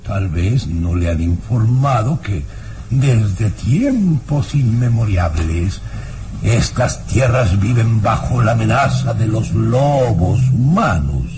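An actor playing an arrogant, irascible baron in a vintage radio drama, with a theatrical, sinister, scornful delivery. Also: male voice, fairly low pitch, big pitch swings, slow pace. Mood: ominous, menacing. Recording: noisy old radio drama recording.

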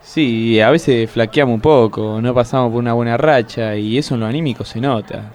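A man's voice: mid-range voice, moderate pace, darkish tone, natural voice. An Argentine man playing a rustic defense counsel who talks in plain footballer clichés in a comic radio play. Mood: earnest, plodding. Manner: plain, cliché-laden athlete's post-match talk. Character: rustic male defender talking like an interviewed footballer. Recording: crowd noise behind the voice.

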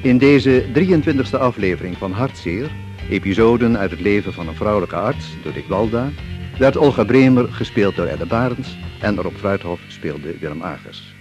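Lage mannelijke hoorspelomroeper met muziek. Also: male voice, on the low side. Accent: Netherlands Dutch. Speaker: radio drama announcer. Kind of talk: scripted broadcast credits announcement. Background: music.

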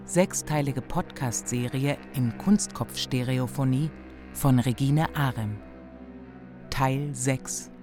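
Slow, deliberate male announcer. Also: medium pitch, music in the background. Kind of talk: scripted radio credits announcement.

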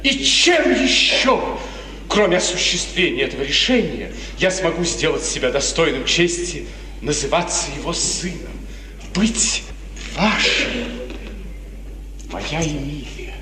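A lovesick young count in a 1950s radio tragedy, speaks in a fairly high voice with lofty, ardent, melancholy solemnity. The recording is distant and noisy, ringing like a hall. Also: male voice, big pitch swings, measured pace. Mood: fervent. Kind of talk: 1950s radio theatre acting, declamatory tragedy.

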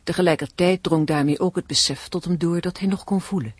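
A woman's voice: lowish voice, a little fast, breathy edge, no room sound. A woman narrating and announcing a radio play in a scripted, literary style.

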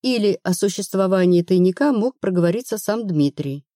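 A woman narrator playing a brisk, rational female investigator in an audiobook, blunt and assured. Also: mid-range voice, expressive, warm tone, no room sound, studio quality, natural voice. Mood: insistent. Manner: matter-of-fact, assured.

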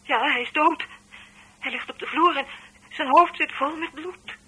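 A woman's voice: high-pitched, unhurried, pushed and bright. An actress playing a shocked, tearful woman on the telephone in a radio drama, stammering and repeating herself as she reports a death. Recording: distant voice on telephone line.

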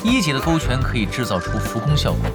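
An actor playing an easygoing young male expert gamer in an audio drama, talking in a casual, gently teasing way. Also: mid-range voice, big pitch swings, quick pace, loud music behind the voice. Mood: patient, explaining. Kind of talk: Chinese audio drama acting.

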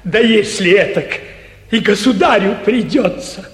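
Eager, high-voiced humble provincial busybody. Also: male voice, a bit bright. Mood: humble, earnest. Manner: obsequious, earnest, halting. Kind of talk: theatrical 1940s stage comedy acting. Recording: echoey 1949 theatre radio production.

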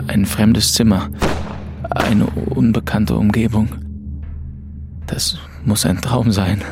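A rootless, melancholy young student in an audio drama. His voice sits low and has a gravelly fry. He tells his own story in terse, inward phrases, with music playing behind him. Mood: numb, desolate. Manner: terse, introspective, short clipped phrases. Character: grieving, rootless 22-year-old male student, bitter and melancholy. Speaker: narrator and lead in an audio drama. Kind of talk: first-person scripted narration in German radio drama.

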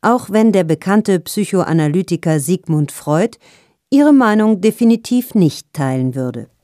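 A woman presenting an audio magazine, reading a scripted introduction in a smooth announcer's manner. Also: on the low side, expressive, measured pace, mellow, no echo, clean studio sound.

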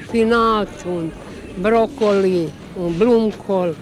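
Female interviewee, casual halting fragments, simple wording, slight urban background noise. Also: medium pitch.

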